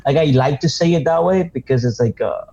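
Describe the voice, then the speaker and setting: A man's voice: a little high, a little fast, creaky, dark tone, highs cut off. A man from Argentina co-hosting a podcast, talking loosely with fillers and restarts. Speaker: podcast co-host. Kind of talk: casual chat.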